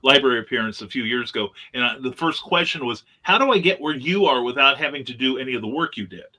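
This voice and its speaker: A man's voice: mid-range voice, lively intonation, fast, sharp tone, rough recording. A man speaking as a writer and guest in a casual panel chat.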